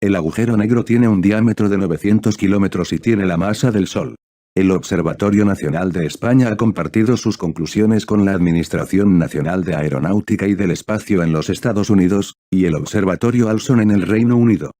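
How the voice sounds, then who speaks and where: A man's voice: low voice, brisk, warm tone, no echo. A man reading a scripted emergency broadcast announcement in a formal, impersonal way. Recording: clear background noise.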